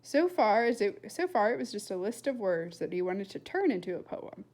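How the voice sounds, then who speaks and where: A woman reading a storybook aloud as narrator in an expressive style. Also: mid-range voice, normal speed. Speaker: storybook reader.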